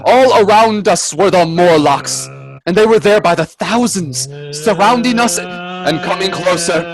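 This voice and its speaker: A man playing an earnest, anxious gentleman companion in a radio play, sounding dramatic and grave. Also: high voice, expressive, over music, poor audio. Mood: grave narration. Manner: earnest, hesitant in dialogue, measured in narration.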